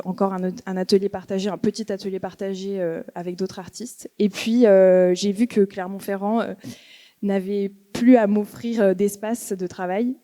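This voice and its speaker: A young woman artist on a panel, giving a steady, methodical account with many fillers. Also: medium pitch. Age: young adult. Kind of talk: panel talk. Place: museum auditorium.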